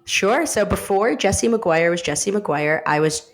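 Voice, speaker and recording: A woman's voice: medium pitch, animated, unhurried. A woman, talking reflectively in an interview, with many fillers and restarts. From upstate New York. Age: 30s. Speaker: guest, managing director of a design studio. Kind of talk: casual interview talk.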